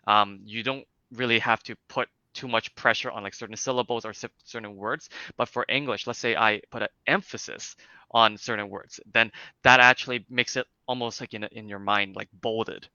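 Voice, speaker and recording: A man's voice: mid-range voice, sharp tone, smooth, no echo, muffled recording. A young man with a light Cantonese accent, explaining things in a casual interview with lots of fillers and restarts. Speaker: interview guest, speech clinic founder.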